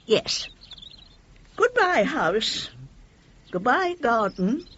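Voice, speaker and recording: A woman's voice: on the high side, sing-song, drawn-out words, a bit bright, husky, exaggerated voice. An actress playing a genteel, wistful elderly British mother in a radio play. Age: older. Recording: dull, muffled radio drama recording.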